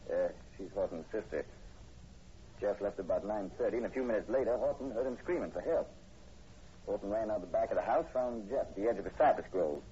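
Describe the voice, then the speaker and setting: Dull, faintly hissy old radio audio carries a police sergeant acted by a man. The voice is dark and on the low side. He is skeptical and plain-spoken, with a folksy, matter-of-fact way of talking from vintage horror drama. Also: close to an everyday voice.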